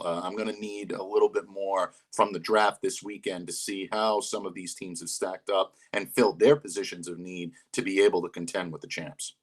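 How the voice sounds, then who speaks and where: A man co-hosting a sports radio show who gives measured analysis and hesitates often. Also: deep voice. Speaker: radio co-host.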